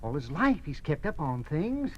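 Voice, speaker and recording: Gloomy, folksy farm neighbor, lively swooping delivery, vintage radio drama, noisy old recording. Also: male voice, fairly high pitch, moderate pace, dull audio. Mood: grumbling, puzzled. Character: gloomy talkative older male neighbor, farm friend.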